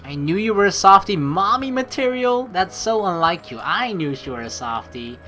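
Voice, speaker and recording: A woman hosting a let's play, reading game narration and dialogue aloud with playful, expressive commentary. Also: lowish voice, normal speed. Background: game music.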